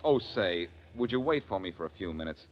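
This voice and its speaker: An actor playing a smooth, confident wisecracking detective in a 1940s radio drama. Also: male voice, low-pitched, lively intonation, fast talker. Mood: easygoing. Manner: dry, wisecracking. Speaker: lead detective. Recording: dull 1940s radio recording, faint hiss.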